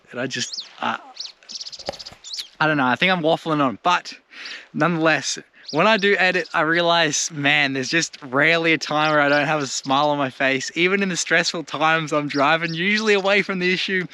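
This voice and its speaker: A man's voice: on the high side, sharp tone. A man with an Australian accent chatting casually to viewers as a travel vlogger, in an informal, rambling, slangy way. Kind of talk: casual chat to camera. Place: outdoors in rural bush campsite. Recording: noisy outdoor recording with birdsong. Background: birds and background noise.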